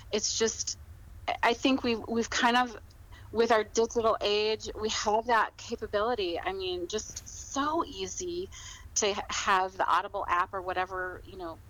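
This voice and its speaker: A woman's voice: mid-range voice, fairly bright tone, rough recording. A middle-aged woman chatting casually as an interview guest, often repeating her words as she goes. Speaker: podcast guest, author.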